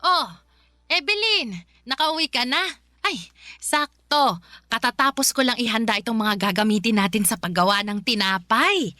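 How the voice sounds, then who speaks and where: Bright-voiced, chatty motherly older lady. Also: on the high side, moderate pace. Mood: cheerful, instructive. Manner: animated, motherly. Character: Nanay Ninita, kindly older adoptive mother, chatty and practical. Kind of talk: Filipino radio drama acting.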